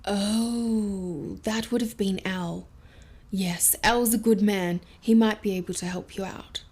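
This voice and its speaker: Friendly tinkerer, medium pitch. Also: female voice, fairly even intonation, slow pace, darkish tone, breathy edge. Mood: friendly, excited. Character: friendly, enthusiastic adult female workshop tinkerer. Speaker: actor in an audio drama. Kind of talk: audio drama dialogue.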